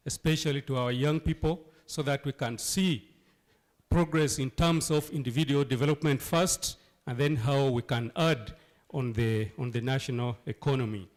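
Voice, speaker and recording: A hoarse man from Uganda, sick with a cold, speaking emphatically at a town hall meeting and asking rhetorical questions. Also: mid-range voice, darkish tone. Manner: emphatic, rhetorical questions, repeats key phrases. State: hoarse from flu and cough. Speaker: local leader addressing a town hall.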